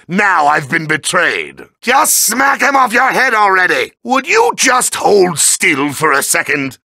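An actor voicing a petulant, blustering evil spirit boss in a video game, with a gravelly, forceful, cartoonish voice and theatrical taunts. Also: male voice, high-pitched, no room sound, full character voice. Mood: furious, flustered. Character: bratty evil villain boss spirit with new body. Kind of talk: exaggerated video game villain voice acting.

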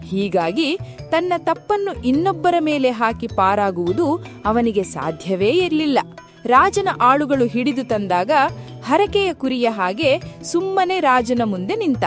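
A woman narrating a scripted children's folktale in an expressive storytelling manner. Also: a little high. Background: background music.

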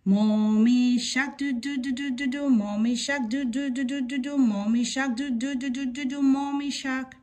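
A woman's voice: high voice, fairly even intonation, moderate pace, few pauses, a little mellow. A woman reading a children's picture book aloud, breaking into sing-song refrains. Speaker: read-aloud story presenter.

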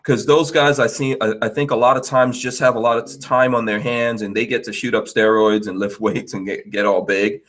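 A man's voice: mid-range voice, a little fast, hardly any pauses. A middle-aged man from Guyana hosting a podcast on a headset mic, chatting casually and laughing often as he tells stories. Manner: laughs often, animated storytelling. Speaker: podcast host. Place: home studio, indoors.

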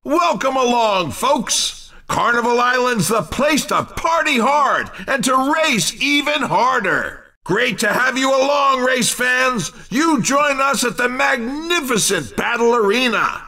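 An actor playing an excitable, upbeat male race commentator in a video game, with showy, hyped announcer delivery. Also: very high voice, slow, recorded from a distance, full character voice. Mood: excited, hyped.

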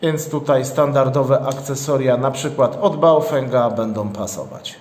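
Slow-talking man in an echoey room. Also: medium pitch, lively intonation, a little mellow. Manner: methodical, matter-of-fact. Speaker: product reviewer, channel host. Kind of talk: unscripted unboxing narration. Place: indoors, at a tabletop. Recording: echoey hard-walled room, long reverb.

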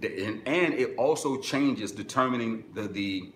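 A middle-aged man with a deep voice, hosting a live-stream webinar and giving direct, instructive answers to viewer questions from a small office. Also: unhurried, a bit bright, reverberant, slight background noise. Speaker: music business webinar host. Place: small office with corkboard, indoors.

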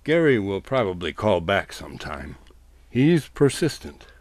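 A man delivering a wry scripted comic monologue with deliberate, dramatic pauses. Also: sits low, sing-song, a little mellow, no echo. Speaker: storyteller.

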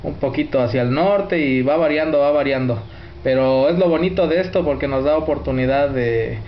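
A man's voice: mid-range voice, moderate pace. Mexican radio operator, man, in a roomy recording. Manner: courteous, uses radio codes and sign-offs. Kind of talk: radio contact chat, reporting signal and equipment. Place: indoors at a radio set. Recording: roomy, dull, faint noise.

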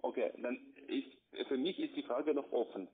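Call center guy, mid-pitched, keeps repeating himself, halting but insistent, thin phone sound. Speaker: call centre salesman. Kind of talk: argumentative sales phone call. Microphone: telephone call. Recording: tinny narrow-band phone line.

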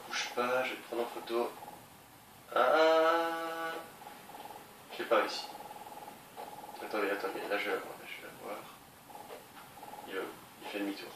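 Low-voiced guy with a cold doing let's play chat, far from the mic, echoey. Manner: chatty, thinking aloud, addressing viewers. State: a bit congested with a cold. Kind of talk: casual game commentary. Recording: distant mic in a roomy space with noise.

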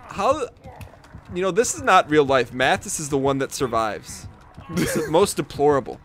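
A man's voice: fairly high pitch, very animated, moderate pace, a bit bright, faint music behind. A man bantering casually with friends during an online game. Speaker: player in a group of gamers.

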